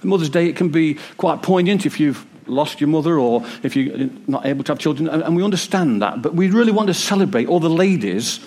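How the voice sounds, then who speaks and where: Croaky preacher, lively pitch. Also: male voice, brisk, few pauses. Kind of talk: sermon. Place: church hall with congregation. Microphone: wireless mic.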